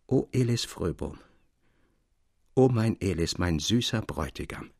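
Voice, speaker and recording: A man's voice: deep voice, animated, measured pace, gravelly, a little mellow, no echo, clean studio sound, pitched below the performer's own voice, exaggerated voice. Man voicing a lamenting ancient woman. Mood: heartbroken lament. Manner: lamenting, imploring. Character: grief-stricken ancient woman, faithful bride pining fifty years.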